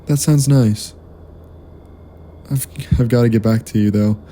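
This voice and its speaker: Man as a very mellow, discouraged student. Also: mid-range voice, fairly even intonation, measured pace, a little hiss or hum, lightly acted. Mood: downcast. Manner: hesitant, sighing, subdued. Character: discouraged, self-doubting young male university student. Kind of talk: naturalistic audio drama acting.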